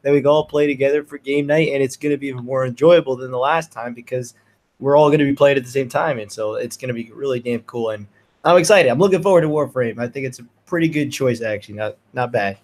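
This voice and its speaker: A man with a medium-pitched voice chats as a podcast panelist. His talk is informal and conversational, with casual swearing thrown in. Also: fast, a little mellow. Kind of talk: casual chat.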